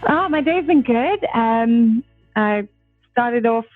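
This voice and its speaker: A woman's voice: on the high side, animated, slow, no room sound, soft background music. South African woman on a tinny video call. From South Africa, Durban. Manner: chatty, laughs often, many fillers. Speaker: guest. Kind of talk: casual video-call chat. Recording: dry tinny call audio.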